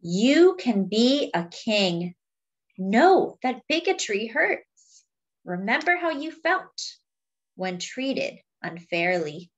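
A woman reading a picture book aloud to young children in a deliberate, teacherly way, adding simple explanations as she goes. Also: on the high side, big pitch swings, highs cut off. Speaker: children's read-aloud storyteller.

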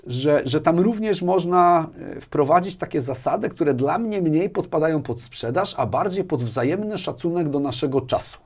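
A man's voice: fairly high pitch, moderate pace, hardly any pauses, breathy edge. A man in his forties explaining in a casual interview, repeating words and often checking with a questioning tag. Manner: animated explaining, repeats words, tags sentences with a questioning "right?". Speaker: interview guest, sales executive. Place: small furnished indoor studio. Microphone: lapel mic.

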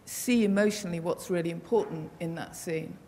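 A woman's voice: lowish voice, brisk, warm tone. A woman on a panel making an earnest comment about how a colleague films. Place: large hall at a panel table. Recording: reverberant hall, faint noise.

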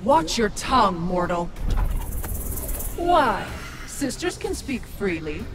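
A woman's voice: medium pitch, big pitch swings, takes it slowly, heavy background noise. A woman voicing an imperious, mocking ancient soul-devouring witch in a video game. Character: haughty, menacing ancient witch who devours souls. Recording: loud music behind studio game voice.

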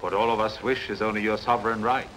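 An actor dubbing a reverent court minister in a sword-and-sandal film, formal and ceremonious. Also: male voice, lowish voice, level tone, fast talker, fairly bright tone, a little hiss or hum, close to an everyday voice, poor audio. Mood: solemn, ceremonious. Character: solemn, reverent court minister welcoming his king.

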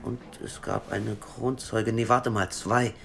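A man's voice: fairly low pitch, vocal fry, slight background noise, full character voice. An actor playing a cocky, teasing male hacker in an audio drama. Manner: teasing and jokey, rushes when excited. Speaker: hacker colleague.

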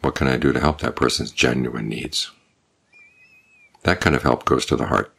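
An older man, a Buddhist monk, giving a calm, measured evening Dhamma talk with unhurried pauses. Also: extremely low pitch, gravelly, slightly rough. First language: English.

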